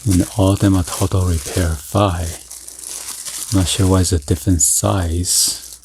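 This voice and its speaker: A man giving halting, murmured ASMR commentary as he hosts an unboxing, with short item-by-item remarks. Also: low voice, little pitch movement, noisy background. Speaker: unboxing video host.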